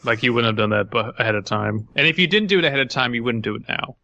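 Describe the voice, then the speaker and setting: A man's voice: mid-range voice, expressive, quick pace, vocal fry, sharp tone, dry recording, muffled recording. A man hosting a podcast, chatting casually and reading a story aloud with dry, skeptical asides. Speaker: podcast host.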